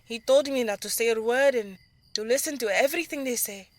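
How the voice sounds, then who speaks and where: A woman as an earnest, higher-voiced older sister. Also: a little fast, dry recording, close to an everyday voice. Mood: reminiscing. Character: protective, serious older sister, young dancer reminiscing. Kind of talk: audio drama storytelling.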